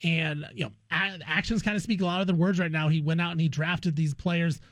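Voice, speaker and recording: A man with a slightly high voice hosts a sports radio interview. He talks conversationally and informally, addressing his guest like a buddy. The sound is dry and close, with very little room on it. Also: steady tone, brisk, fairly bright tone, no echo.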